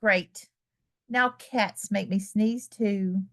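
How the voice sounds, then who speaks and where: A woman voicing an anxious, dramatic male elephant in a children's picture book, in a halting, exclaiming way. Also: medium pitch, fairly even intonation, a bit bright. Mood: miserable. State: sneezing, acted.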